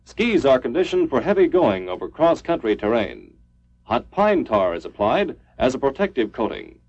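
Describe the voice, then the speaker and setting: A man's voice: medium pitch, wildly swooping pitch, moderate pace, darkish tone. A man reading a scripted 1950s military training film narration in a formal, clipped, instructional manner. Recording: dull lo-fi 1950s film soundtrack.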